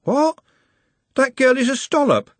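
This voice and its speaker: A man plays a brash young working-class football fan in a storybook, pitched high and very animated. His talk is blunt, slangy and mocking, with a rough, husky edge, recorded close and dry. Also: moderate pace, no echo, full character voice. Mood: aghast, indignant. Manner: slangy, blunt, matey banter.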